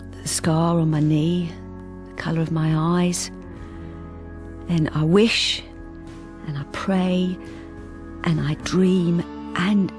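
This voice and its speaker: Wistful lonely wife telling her story, intimate, on the low side, radio drama with music behind. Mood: quiet, concentrated. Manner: intimate, reflective, softly hesitant. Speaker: lead character and narrator.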